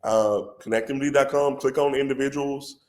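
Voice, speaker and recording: A man with a head cold and a congested voice, a company president talking as a podcast guest in an animated, persuasive way. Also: medium pitch, normal speed, slight background noise. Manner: animated and persuasive, says "bro" a lot. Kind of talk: casual podcast conversation.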